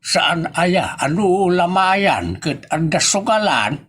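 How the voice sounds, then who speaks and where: A man playing an old father in a comedy audio drama, drawling his words out very slowly. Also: sits high, very animated, a bit bright, husky, exaggerated voice. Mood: unhurried.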